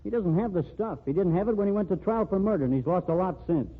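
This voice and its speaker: A stern, pressing man speaks in a fairly high, husky voice. He comes through a thin, tinny old radio recording. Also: expressive, fast talker. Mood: warning. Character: jealous, warning male friend. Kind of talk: 1940s radio drama acting.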